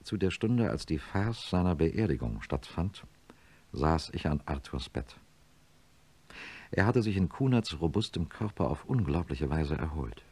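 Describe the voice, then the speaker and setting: Very deep, husky, grave professor. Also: male voice, darkish tone, exaggerated voice. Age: middle-aged. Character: grave middle-aged neurosurgeon professor, troubled, confiding narrator. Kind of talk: radio drama narration and dialogue.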